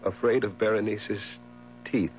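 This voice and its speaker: An actor playing a tormented, haunted widower sliding into madness, overwrought and halting in a theatrical 1970s radio drama style. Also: male voice, fairly low pitch, fairly even intonation, soft background music, lightly acted. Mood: weary fear. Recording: muffled, noisy 1970s radio broadcast with hum.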